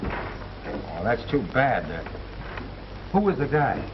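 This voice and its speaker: Cocky young 1930s wisecracker, swooping pitch. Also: male voice, huge pitch swings, slow. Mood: sympathetic. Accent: American. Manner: glib, self-assured. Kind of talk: 1930s film comedy acting. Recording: muffled, noisy 1930s film soundtrack.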